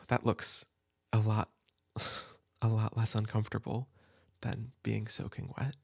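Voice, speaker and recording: A man playing a caring older brother who shelters a younger sibling, soft-spoken and reassuring, sometimes dropping to a whisper, in a comfort audio roleplay. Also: medium pitch, creaky, breathy edge, heavily acted. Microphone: home desk mic.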